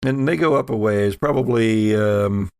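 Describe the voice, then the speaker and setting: A man runs a tabletop horror game as game master, his voice creaky and closely recorded with a dry sound. He sets out each scene in a halting, deliberate manner. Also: on the low side, darkish tone, no echo.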